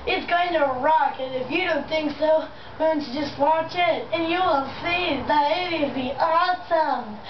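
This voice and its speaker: A young woman hosting a homemade puppet show, improvising in a halting, rambling, enthusiastic way. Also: high-pitched. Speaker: amateur puppet show host. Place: room in a house. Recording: muffled, distant, noisy echoey home video.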